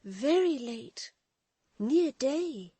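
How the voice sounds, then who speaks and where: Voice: high-pitched, expressive, drawn-out words, mellow, slightly rough, no room sound, voice pushed up, exaggerated voice. A reader voicing a frightened, tender young daughter, pleading and near tears. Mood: anxious. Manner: pleading, tearful. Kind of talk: audiobook reading.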